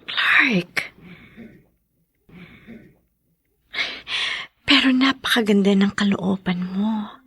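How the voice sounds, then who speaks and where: A woman playing a respectful, sincere young single mother in an audio drama, soft-spoken and deferential. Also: mid-range voice, slightly rough, no room sound, full character voice. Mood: tender, grateful. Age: young adult. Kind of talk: melodramatic radio-style drama acting.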